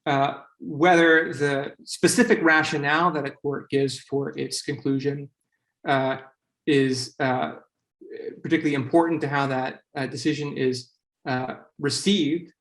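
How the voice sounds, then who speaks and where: A man giving an academic conference talk as a panelist, speaking haltingly with many fillers between phrases. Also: mid-range voice, fairly even intonation, fairly bright tone, roomy sound.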